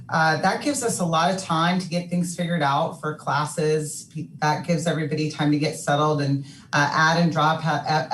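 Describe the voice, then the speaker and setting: A woman from a student finance office answering a question on a panel in a reassuring way, with many fillers and some throat clearing. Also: sits low. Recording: echoey room, distant mic, faint noise.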